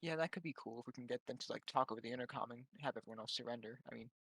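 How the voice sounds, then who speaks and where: A man's voice: mid-range voice, rapid-fire, fairly bright tone, breathy edge, no echo. A man talking casually as a player in a tabletop roleplaying game.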